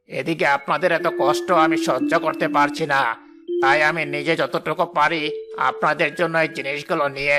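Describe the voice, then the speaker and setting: A man's voice: fairly high pitch, lightly acted. A man voicing a greedy, bossy village headman in a cartoon, with an unctuous manner. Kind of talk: cartoon voice acting. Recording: music behind the voice. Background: background music.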